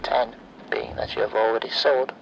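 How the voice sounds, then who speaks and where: A man playing a sinister automated phone survey voice in a horror ASMR roleplay, breathy and often near-whispered, speaking in measured, formulaic announcements. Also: medium pitch, little pitch movement, lo-fi sound, slight background noise, close to an everyday voice. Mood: eerily polite.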